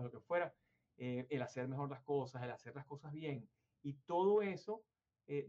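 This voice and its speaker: A man's voice: fairly high pitch, darkish tone. A man from Venezuela hosting an interview, talking at length in a rambling, hesitant way with many fillers and restarts. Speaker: interview host. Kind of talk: conversational interview. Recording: dull lo-fi sound.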